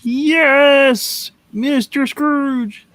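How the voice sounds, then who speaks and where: A man's voice: extremely high pitch, fairly even intonation, extremely slow, a bit bright, dry recording, heavily acted. A man playing a meek, dutiful office clerk in a radio-play reading, deferential and hesitant. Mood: timid. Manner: deferential, hesitant, mumbling to himself.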